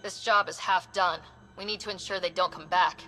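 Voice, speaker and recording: A woman's voice: on the low side, steady tone, moderate pace, sharp tone, faint music behind. A woman acting a resolute young space explorer commander in a video game, giving decisive, clipped orders.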